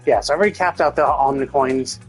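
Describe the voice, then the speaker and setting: A man's voice: medium pitch, fast talker, soft background music. A man doing casual live game commentary, thinking aloud in a rambling way and swearing casually.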